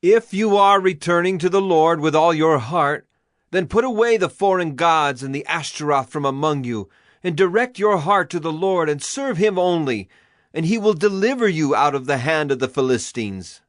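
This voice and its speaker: A man's voice: a little high, expressive, moderate pace, no room sound, clean studio sound, close to an everyday voice. A man playing a solemn, commanding Hebrew prophet in an earnest, declamatory dramatized scripture reading. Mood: solemn exhortation.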